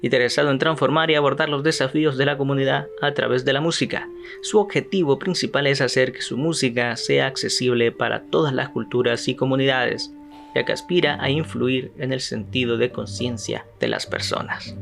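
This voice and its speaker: Hombre de voz áspera presentando. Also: male voice, medium pitch, gravelly, a bit bright. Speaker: podcast host. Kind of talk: reading an introduction, then interviewing.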